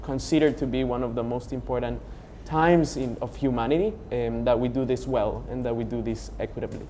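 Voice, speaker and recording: A man's voice: medium pitch, fairly even intonation, brisk, warm tone, slight background noise. A young man from Honduras speaking as a panelist, earnest and methodical with many for-instances. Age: young adult. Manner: earnest, building points with for instance. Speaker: panelist, youth organization founder. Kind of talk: panel discussion.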